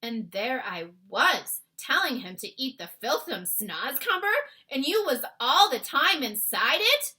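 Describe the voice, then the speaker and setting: A woman's voice: sits high, sing-song, measured pace, pushed and bright, voice pushed up, full character voice, low-quality recording. A woman voicing a kindly, innocent male giant in a children's storybook, earnest and dignified, with mangled folksy grammar. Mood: relieved, astonished. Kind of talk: acted children's storybook read-aloud.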